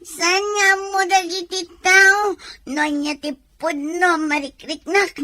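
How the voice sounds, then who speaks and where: A woman's voice: very high voice, fairly even intonation, slow, sharp tone, exaggerated voice. A woman playing an anguished young wife in a radio drama, wailing and defiant through her tears. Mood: tearful, defiant. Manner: tearful, wailing delivery.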